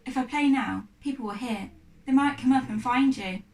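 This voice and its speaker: A woman's voice: high voice, normal speed, darkish tone, pitched above the performer's own voice, natural voice. A woman reading the part of an earnest, determined, anxious young girl in a storybook. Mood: eager, earnest. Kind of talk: storybook character dialogue. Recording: echoey room, distant mic.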